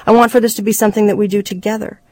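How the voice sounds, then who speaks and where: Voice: mid-range voice, fairly quick, dry recording, lightly acted. A reader voicing an earnest, exasperated father from a memoir. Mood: earnest, pleading.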